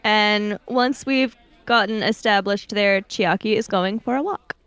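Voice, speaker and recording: A woman's voice: medium pitch, a little slow, fairly bright tone, clean tone, no echo. A woman chatting casually as a player in a tabletop roleplaying game. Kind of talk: casual banter.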